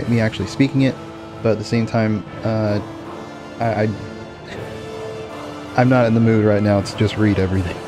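A groggy man who has just woken up, talking through a let's-play in a lowish voice. He rambles, trails off and restarts his sentences. Faint game music plays under him. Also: darkish tone. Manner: rambling, many fillers and restarts. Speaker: gaming channel host. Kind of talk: casual let's-play commentary.

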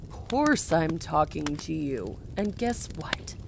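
A woman plays the voice of God in a burning bush for a kids' puppet show. It is emphatic and encouraging, very slow and swooping, with some noise behind it. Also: sits low, big pitch swings, vocal fry, full character voice. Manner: emphatic, coaxing. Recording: noticeable background noise.

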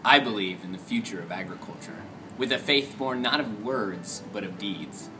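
A man's voice: lowish voice, normal speed, bright, forceful tone, poor audio. A young man reciting a creed in a formal style. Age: young adult. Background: instrumental music.

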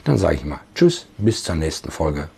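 A man in his fifties with a hoarse voice, giving informal, sceptical commentary to camera as a video host. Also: very deep voice, big pitch swings, normal speed, noisy background. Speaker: YouTube host. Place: book-lined study, indoors. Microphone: lapel mic.

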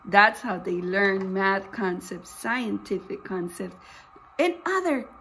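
A woman in her forties, a preschool teacher reading a picture book aloud, exclaiming and putting questions to young listeners in an animated, expressive way. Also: medium pitch, takes it slowly, slight background noise, low-quality recording. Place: small indoor room with posters.

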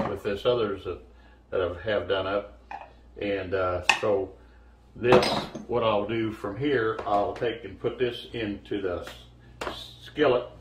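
Older guy in his 60s doing a cooking demo, kinda halting, low voice, far from the mic. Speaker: home cooking show host. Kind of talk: casual cooking demonstration. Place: home kitchen, indoors. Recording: echoey kitchen, distant mic, faint noise.

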